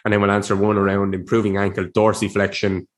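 A man's voice: fairly low pitch, completely flat delivery, fast talker. Chatty man with a sniffly voice. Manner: rambling, chatty, with restarts and laughs. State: sniffly from a cold. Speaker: podcast host. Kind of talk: casual solo podcast chat.

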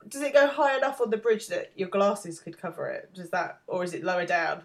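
A woman's voice: lowish voice, fairly quick, creaky. A British woman co-hosting a podcast in casual chat, giggly and self-deprecating, with a blocked nose from a cold. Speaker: podcast co-host.

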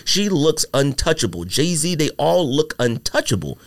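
Lively talkative man on a podcast. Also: fairly high pitch, no echo. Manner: animated, drawn-out storytelling with many fillers. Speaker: podcast host. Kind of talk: casual podcast chat.

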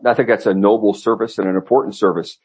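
Quick, husky male co-host. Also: on the low side, steady tone, darkish tone. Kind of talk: casual interviewing.

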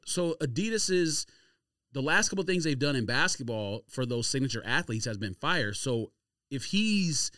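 A man's voice: medium pitch, fairly bright tone, no room sound, clean studio sound. A man hosting a podcast, chatting casually about sports and sneakers in an emphatic, opinionated, slangy way. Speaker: podcast host.

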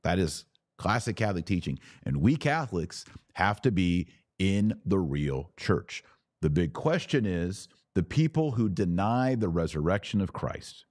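A man's voice: sits low, a little mellow, no echo, clean studio sound. An American man hosting a podcast, giving casual religious commentary and answering listeners in an emphatic, teacherly way. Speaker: podcast host.

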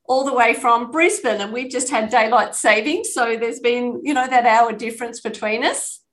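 A woman's voice: on the high side, moderate pace. A woman hosting a podcast interview, enthusiastic and effusive in her praise. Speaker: podcast host.

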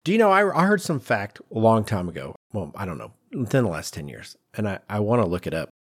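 A man's voice: lowish voice, sing-song, fast talker, husky. A man co-hosting a podcast in casual conversation, reacting and raising questions. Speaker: podcast co-host.

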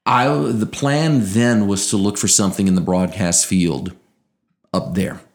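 A man's voice: low voice, measured pace. A man in his thirties from Louisiana with an American accent and a faint Southern twang, telling stories conversationally with precise, broadcaster-like enunciation. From Louisiana, United States. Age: 30s. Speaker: interview guest, sports broadcaster. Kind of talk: conversational storytelling.

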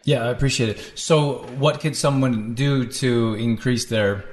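A man hosts a podcast interview and puts his questions to a guest. He often stops and restarts words mid-sentence. His voice sits at an ordinary middle pitch. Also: fairly even intonation, unhurried. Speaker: podcast host.

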